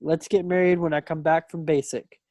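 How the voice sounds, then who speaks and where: A man's voice: fairly high pitch, level tone, moderate pace, darkish tone, dry recording, natural voice. A man playing an earnest, stubborn young soldier in love, in an amateur play read over a video call. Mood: eager, tender.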